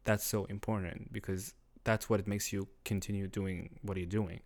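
A young man from Syria, his voice sitting on the lower side and full of gravelly fry. He tells his story in a relaxed chat as a guest, often pausing to find the right English word and breaking into laughter. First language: Arabic. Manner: halting, searching for words, laughs often.

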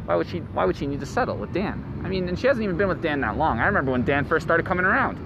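A man in his late twenties hosts a podcast in a rambling, profane monologue, with a slightly high voice that swings all over the place. He was recorded outdoors, and steady street traffic noise sits clearly under his voice. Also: racing, muffled recording. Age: late 20s. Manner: rambling, profane, animated storyteller. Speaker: podcast host.

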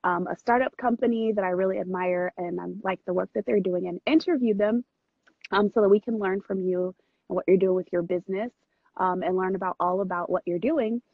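A woman hosting a casual interview over a video call, with a slightly husky voice. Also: medium pitch, brisk, no echo. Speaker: vlogcast host and interviewer. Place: private room on a moving train. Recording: muffled, dry video call audio.

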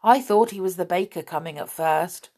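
A woman voicing an excitable male gnome in a children's story, calling out eagerly and matter-of-factly. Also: lowish voice, normal speed, slightly rough. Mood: surprised, sure. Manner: yelling, eager, matter-of-fact. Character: excitable male gnome shouting from his tower.

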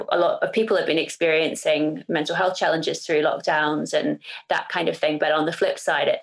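Low creaky-voiced New woman. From New Zealand. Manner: thoughtful, hesitant with fillers. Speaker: interviewer. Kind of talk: casual interview chat.

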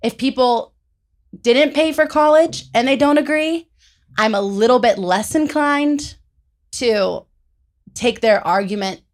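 A young adult woman on a panel, defending her view in a measured, casual debating style. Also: high-pitched.

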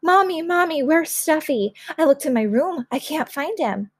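A woman's voice: mid-range voice, fairly even intonation, normal speed, creaky, darkish tone, dry recording, studio quality. A woman voicing an eager, pleading young girl in a children's storybook. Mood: panicked. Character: eager young girl, upset then thrilled.

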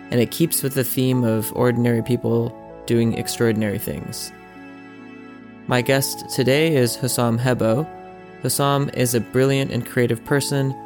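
Podcast-Moderator, ruhig und freundlich. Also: male voice, mid-range voice. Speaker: podcast host. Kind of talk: podcast interview. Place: hotel room.

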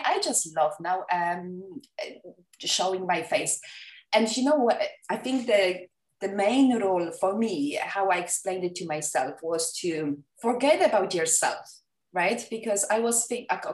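A woman's voice: mid-range voice, darkish tone, recorded from a distance. A woman from Poland with a Polish accent, a wedding photographer chatting enthusiastically as a podcast guest, with many fillers and restarts. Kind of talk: casual interview chat. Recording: echoey room, off the mic, faint music.

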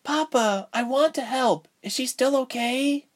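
A man's voice: very high-pitched, measured pace, voice pushed up. A man voicing an earnest, grieving little girl in a storybook narration. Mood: eager, anxious.

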